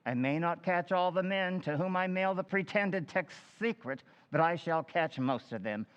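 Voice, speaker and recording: A man's voice: high-pitched, animated, smooth, highs cut off. A man playing a declaiming town chairman in an echoing hall. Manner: declamatory, brisk auctioneer patter. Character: pompous small-town meeting chairman and auctioneer. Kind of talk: old-time radio drama recreation.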